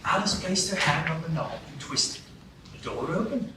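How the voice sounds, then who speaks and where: A man's voice: fairly high pitch, animated. Male narrator in an echoey hall. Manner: measured, storytelling. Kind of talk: storybook narration in a live radio play. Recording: echoey hall, distant mic, noisy.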